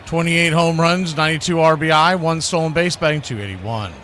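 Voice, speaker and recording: A man's voice: a little high, brisk, a bit bright, slight background noise. A man announcing sports and sponsor spots in a showy, folksy radio play-by-play style. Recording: music bed under the voice. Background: music.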